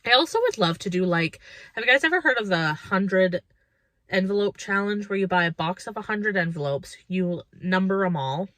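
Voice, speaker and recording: A woman's voice: medium pitch, lively intonation, normal speed, a bit bright, dry recording. A woman of about thirty chatting casually and reflectively to her viewers as a lifestyle vlogger, informal and thinking aloud.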